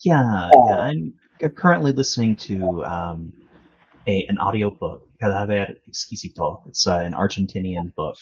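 A man's voice: sits low, normal speed, darkish tone, slightly rough. A man hosting a podcast interview, speaking casually and hesitantly with lots of fillers. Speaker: podcast host. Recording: dull lo-fi call audio.

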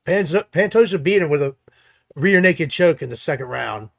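A man's voice: on the high side, animated, moderate pace, creaky. Upbeat man talking fight picks. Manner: enthusiastic, hedging, self-correcting. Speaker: co-host picking fights. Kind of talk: casual sports chat.

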